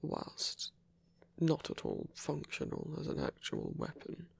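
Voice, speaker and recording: A man playing a gentle vampire priest in an intimate ASMR roleplay, soft and breathy, speaking in hesitant, measured, genteel phrases. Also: a bass voice, huge pitch swings, heavily acted. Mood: gentle, musing. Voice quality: breathy, whispery. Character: gentle, soft-spoken vampire priest, male, pacifist, tender.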